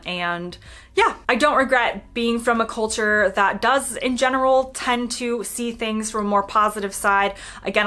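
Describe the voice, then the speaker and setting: A woman's voice: mid-range voice, pushed and bright. A young American woman from Florida, chatting casually and upbeat to camera in her vlog. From United States (Florida). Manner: chatty, upbeat, lots of fillers. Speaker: vlogger.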